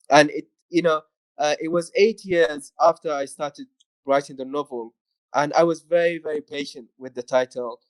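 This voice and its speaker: A man's voice: on the high side, no room sound, rough recording. A man from Eritrea, an author, talking in a reflective conversation and often saying "you know". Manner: thoughtful, frequent "you know".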